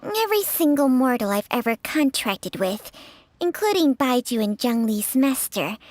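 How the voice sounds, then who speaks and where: A woman's voice: high-pitched, very animated, normal speed. A woman voicing an ancient, knowing snake companion in a video game, with a teasing, blunt manner. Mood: grave. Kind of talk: scripted video game voice acting.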